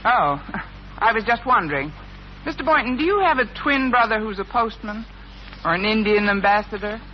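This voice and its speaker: An actress playing a wry, sarcastic American schoolteacher in a 1950s radio sitcom, with dry, deadpan delivery. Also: female voice, a little high, normal speed, lightly acted. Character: wry, lovelorn woman schoolteacher, sharp-tongued and sarcastic. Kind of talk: 1950s radio sitcom acting with first-person narration. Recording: dull, noisy 1950s radio recording.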